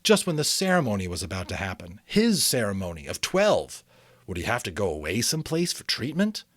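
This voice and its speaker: A man giving a dramatic, expressive amateur audiobook reading with casual commentary. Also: fairly low pitch, fairly quick, no room sound, clean studio sound.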